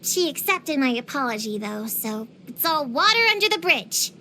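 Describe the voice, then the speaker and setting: A woman's voice: high voice, sing-song, normal speed, bright, forceful tone, a little hiss or hum, exaggerated voice. A woman voicing a precocious, feisty little girl in a video game, earnest and theatrical with grown-up wording. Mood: grumbling, puzzled. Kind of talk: scripted video game character voice lines.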